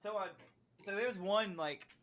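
A man's voice: high voice, unhurried, crowd noise. A man chatting casually as co-host of a podcast. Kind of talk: casual chat.

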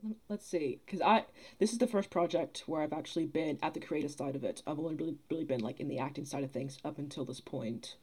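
A woman's voice: low voice, fast talker, few pauses, a little mellow, husky. A young woman chatting casually as a podcast guest, reflective and articulate, explaining things at length. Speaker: podcast guest, theatre producer and actor. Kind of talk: casual interview chat.

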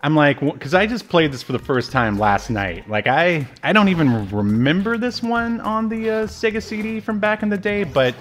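A man's voice: on the high side, big pitch swings, normal speed, hardly any pauses, a bit bright, slight background noise. A middle-aged man co-hosting a podcast, talking about old video games in a rambling, informal, amused way. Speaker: podcast host. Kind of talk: casual retro game review chat.

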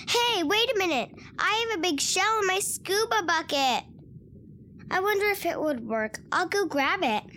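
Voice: expressive, moderate pace, pushed and bright, a little hiss or hum, exaggerated voice. A performer playing a friendly, chirpy child in a children's undersea audio drama, with a childlike voice. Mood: helpful. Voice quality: very high, childlike.

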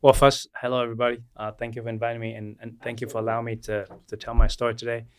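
A man in his forties from Vietnam, whose first language is Vietnamese, telling his life story as a guest chiropractor in an unhurried way with many fillers. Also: mid-range voice, level tone. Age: 40s. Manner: unhurried, with frequent fillers and restarts. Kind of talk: personal storytelling in an interview.

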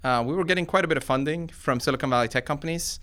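A man's voice: fairly high pitch, racing, a bit bright. A man in his forties who was born in Iran and grew up in Sweden, giving conversational interview answers as a tech founder and researcher, in an informal explanatory way. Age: 40s. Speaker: tech company founder and computer science researcher being interviewed.

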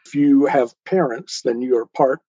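A man explaining his ideas in a measured, didactic way, building each point step by step, on a slightly dull recording. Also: medium pitch, dark tone, husky, no echo. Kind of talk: explanatory talk on spirituality.

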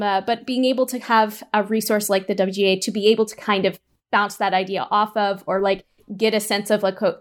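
A woman speaking conversationally as an interview guest, feeling her way through points in a rambling, hesitant manner. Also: mid-range voice. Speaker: audio drama writer and producer.

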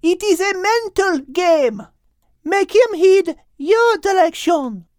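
A man's voice: very high-pitched, lively intonation, slow pace, a little mellow, no room sound, studio quality, pitched above the performer's own voice, exaggerated voice. A man voicing a poised, clever female cat giving precise, imperious instructions in an audiobook. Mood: urgent, instructing. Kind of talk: audiobook character acting.

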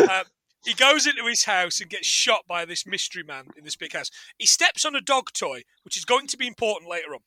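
A man's voice: high voice, sing-song, a little fast, sharp tone, no echo, poor audio. A man co-hosting a film podcast, recapping casually in a jokey, sardonic and sweary way.

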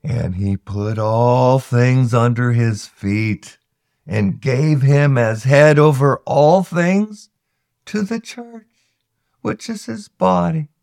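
A man reading scripture aloud solemnly, with measured, deliberate phrasing and frequent pauses. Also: medium pitch, creaky, darkish tone, smooth, no echo, clean studio sound. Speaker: scripture reader.

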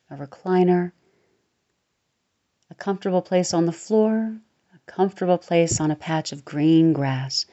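A woman guiding a relaxation meditation, speaking slowly and soothingly and sometimes sinking into a soft near-whisper. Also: on the low side. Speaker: meditation guide. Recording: slightly dull lo-fi recording.

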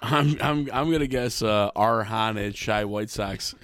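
A man's voice: on the low side, unhurried, background noise. An American man co-hosting a sports podcast in casual fan chat. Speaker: podcast co-host.

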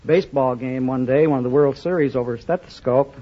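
A man, a middle-aged quiz contestant, giving earnest, halting answers in an unscripted interview. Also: medium pitch. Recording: muffled old radio recording.

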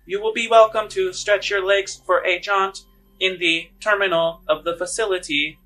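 A man's voice: high-pitched, steady tone, moderate pace, bright, forceful tone, faint music behind, voice pushed up. A man voicing a polite, formal public-address announcer on a passenger starship in a tabletop game. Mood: formal, apologetic. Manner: formal, scripted announcement delivery.

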